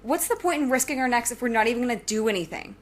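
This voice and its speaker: A woman's voice: a little high, lively intonation, racing. A young woman playing a driven, defiant student supervillain and inventor in an audio drama, speaking with insistent earnestness. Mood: defensive, frustrated.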